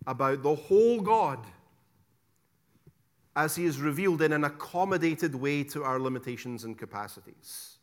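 A middle-aged Scottish man giving a theology lecture, stressing key words loudly, dropping to quiet asides and asking rhetorical questions. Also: medium pitch. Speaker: preacher teaching theology.

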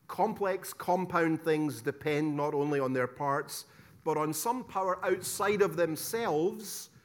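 Scottish preacher, middle-aged, raised voice, emphatic teacher with hushed asides. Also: male voice, fairly high pitch. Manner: emphatic, swings between loud stresses and quiet asides, rhetorical questions. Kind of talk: theology lecture.

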